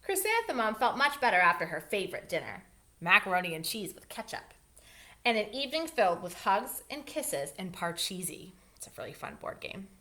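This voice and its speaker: A woman with a bright, forceful voice reads a children's storybook aloud. Her pitch moves freely, and she leans on words and stretches them out. She speaks as a host who steps in with asides for young listeners. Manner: animated, emphatic, drawn-out words for young listeners. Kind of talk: expressive storybook reading with asides.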